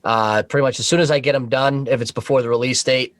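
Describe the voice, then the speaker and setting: A man's voice: mid-range voice, fairly even intonation, quick pace, fairly bright tone, no echo. Male guest, mid-range voice, very dry recording, loose sweary conversation. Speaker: podcast guest. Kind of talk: casual chat. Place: remote video call.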